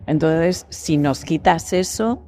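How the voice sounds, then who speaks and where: A woman speaking as a guest in a casual panel discussion, in a measured, reflective way with hesitant phrasing. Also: low-pitched, warm tone, soft background music. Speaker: voice actor, podcast guest.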